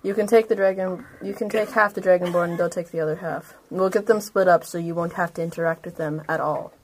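A woman's voice: lowish voice, steady tone, fast, slight background noise, rough recording. A woman talking casually as a player in a tabletop game, informal and assertive.

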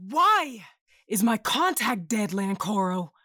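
A woman's voice: medium pitch, sing-song, takes it slowly, pushed and bright, no echo, clean studio sound, exaggerated voice. A woman acting as a stern, exasperated aunt and underworld deal-maker, scolding and commanding in a sci-fi audio drama. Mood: angry.

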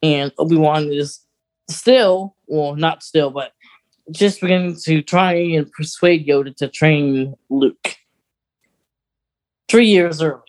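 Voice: mid-range voice, takes it slowly, pauses often, low-quality recording. A podcast co-host talking in a casual, conversational way about fan lore. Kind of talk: casual chat about Star Wars lore.

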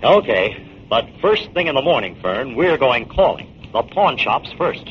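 An actor playing a confident, shrewd amateur detective in a 1940s radio drama, with a wry and persuasive manner. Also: male voice, mid-range voice, big pitch swings, unhurried. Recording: muffled, noisy 1940s radio recording.

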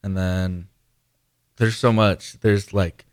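Male high school senior from Mexico, low voice, little melody, hesitant casual answers, radio guest. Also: steady tone, slow, no echo, studio quality. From Guanajuato, Mexico. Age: teens, high school senior. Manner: hesitant, peppered with "like". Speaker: student guest. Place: radio studio.

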